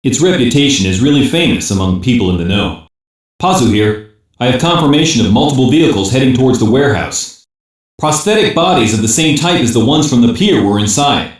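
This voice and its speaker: A cool, unemotional male undercover operative acted by a man from an anime game. He reports in a terse, factual way at a medium pitch, with strong echo around the voice. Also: animated, brisk, lightly acted. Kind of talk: dubbed anime game dialogue, field report. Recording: very echoey room sound.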